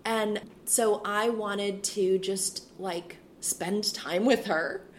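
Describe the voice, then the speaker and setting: A woman in her thirties, a priest and school chaplain, talking in a relaxed interview with a soothing, reflective manner. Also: mid-range voice, very slow, a little hiss or hum. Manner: frequent fillers and restarts, laughs easily. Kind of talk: casual interview conversation.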